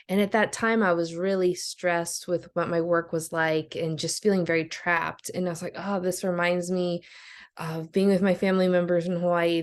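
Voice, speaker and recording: A woman telling a personal story on a video call, earnest and a little hesitant. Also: fairly low pitch. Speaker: course participant.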